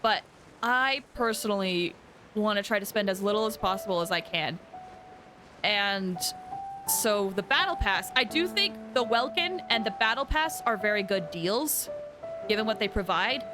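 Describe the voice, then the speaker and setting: A woman's voice: mid-range voice, animated, moderate pace. A woman game streamer chatting casually to her live viewers. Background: game music.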